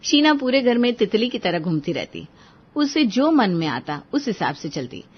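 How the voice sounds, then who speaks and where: A woman's voice: a little high, sing-song, moderate pace, slightly rough. A woman storyteller delivering scripted narration for a Hindi moral story. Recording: dull, lo-fi audio.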